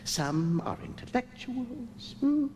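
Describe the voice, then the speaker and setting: A man acting in a radio drama as a serene, haughty alien ruler of the moon, deliberate and imperious, with coolly menacing undertones. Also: very high-pitched, very animated, a little mellow, long reverb tail, a little hiss or hum, exaggerated voice. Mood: serene, superior. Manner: slow, deliberate, imperious, with musing hums and chuckles. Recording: music behind the voice.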